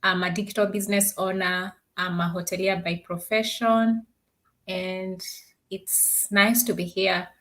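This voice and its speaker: Kenyan-born woman on a panel, steady, lots of uh pauses. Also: mid-range voice. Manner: measured, with many "uh" pauses.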